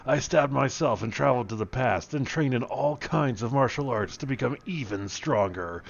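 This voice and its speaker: A man voicing a tough, earnest action hero in a comic story, delivering deliberate, melodramatic one-liners. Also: mid-range voice, animated, creaky, dull audio. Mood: confident.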